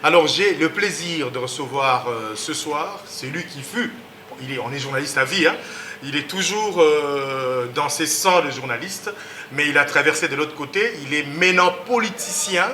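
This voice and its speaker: Bright-voiced man hosting, roomy studio. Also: moderate pace, a little hiss or hum. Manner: emphatic, rises in pitch on key words, hesitant fillers. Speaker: TV talk show host. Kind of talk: TV talk show interview.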